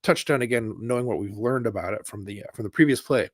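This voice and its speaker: A man's voice: mid-range voice, fast talker, no room sound, clean studio sound. A man co-hosting a casual football chat, rambling with lots of fillers but enthusiastic. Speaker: podcast co-host.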